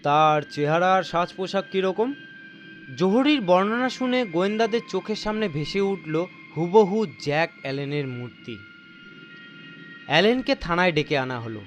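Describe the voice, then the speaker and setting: High-pitched man narrating suspense. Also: animated, normal speed, slight background noise. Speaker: story narrator. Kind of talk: dramatic scripted horror and mystery narration. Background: faint music.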